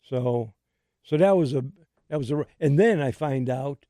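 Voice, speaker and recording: An older man hosting a radio show in casual chat, his voice slightly raspy, rambling with many restarts. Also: medium pitch, measured pace, warm tone, no echo, clean studio sound. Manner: rambling, restarts often, laughs easily.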